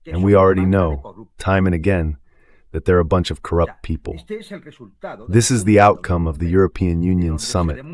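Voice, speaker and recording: A man's voice: sits low, darkish tone. A man giving emphatic, sarcastic political commentary as a guest analyst, in a translated voice-over.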